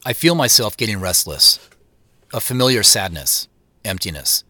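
An actor playing an introspective, guarded man who narrates his homecoming in the first person, measured and confiding, in an audio drama. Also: fairly low pitch, expressive, sharp tone, no echo, heavily acted. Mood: melancholy, guarded. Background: music under the narration.

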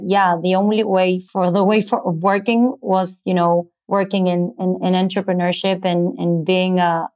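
Female startup founder guest, Argentine accent, native Spanish speaker, lowish flat pitch, explanatory, slightly halting. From Buenos Aires, Argentina. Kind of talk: explanatory interview answers.

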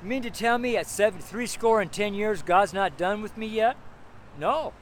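High-voiced man in his seventies, street preaching. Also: normal speed. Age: 70s. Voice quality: strong, carrying voice. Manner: rambling, exclamatory, repeats phrases for emphasis. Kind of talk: informal extemporaneous street sermon. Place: outdoors on a city street among buildings. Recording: outdoor street noise, traffic ambience.

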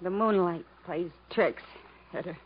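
A woman's voice: on the low side, measured pace, lightly acted. An actress playing a worn-down, fatalistic woman on the run, wistful and wry, in 1950s radio drama style. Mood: wistful, resigned. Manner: wistful, wry, world-weary. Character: worn-down, bitter, fatalistic woman fleeing through the jungle. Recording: muffled, hissy 1950s radio broadcast.

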